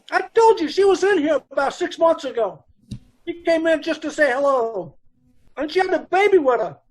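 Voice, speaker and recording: An actor playing a brusque, chatty dance hall boss with folksy, blunt speech in an old-time radio police drama. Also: male voice, extremely high pitch, a bit bright.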